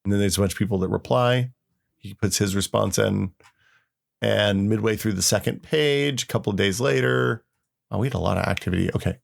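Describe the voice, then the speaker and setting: A man's voice: fairly low pitch, brisk, vocal fry. A man co-hosting a podcast in a geeky, conversational way, chatting casually and reading aloud. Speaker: podcast co-host. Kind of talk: casual chat with dramatic readings of old forum posts.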